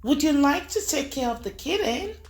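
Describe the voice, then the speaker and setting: A woman voicing a kind, caring female fairy in a storybook, speaking high and gentle. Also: expressive, moderate pace, gravelly, soft background music. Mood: sweet.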